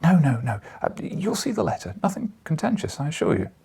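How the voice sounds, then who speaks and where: A man's voice: on the high side, sing-song, fairly quick, vocal fry, mellow, breathy edge, pitched above the performer's own voice, exaggerated voice. A man voicing an eager, polite young newspaper reporter in an audiobook, earnest and a little hesitant. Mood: pleading. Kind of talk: audiobook character dialogue.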